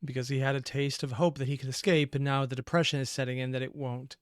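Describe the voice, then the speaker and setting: A man's voice: mid-range voice, little pitch movement, quick pace, faint music behind. A man hosting a reaction video, giving informal, opinionated, analytical commentary off the cuff.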